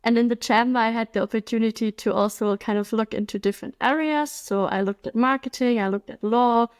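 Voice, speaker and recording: A woman's voice: fairly high pitch, fairly even intonation, fairly quick, clean tone. A woman in her twenties from Germany, a guest telling her story in a casual interview, chatty, with frequent ums and little laughs. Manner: chatty, laughs often, many ums and likes.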